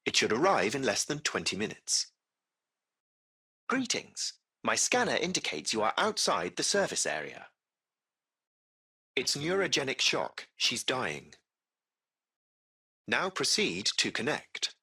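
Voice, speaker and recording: Polite game ai cab driver, butler-like and composed, raspy voice, really animated intonation. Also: male voice, medium pitch, a little slow, long pauses, full character voice. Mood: calm, businesslike. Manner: formal, polite, unflappable butler-like phrasing. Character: courteous, composed male AI of a luxury taxi service. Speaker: AI chauffeur. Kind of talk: scripted video game dialogue.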